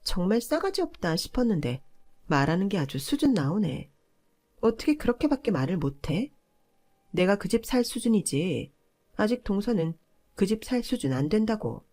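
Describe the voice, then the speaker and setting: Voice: medium pitch, big pitch swings, normal speed, mellow, voice pushed up. A narrator voicing a pushy, entitled older sister-in-law in a family story, scolding and condescending. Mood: indignant. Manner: condescending, nagging, argumentative.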